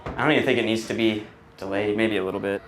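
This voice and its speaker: A man chatting casually as an audio technician on the job, informal and thinking out loud. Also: on the low side, steady tone, fast talker. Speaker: audio technician setting up a PA system. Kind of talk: casual on-the-job vlog chat. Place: on location at an event venue. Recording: noisy on-location event setup.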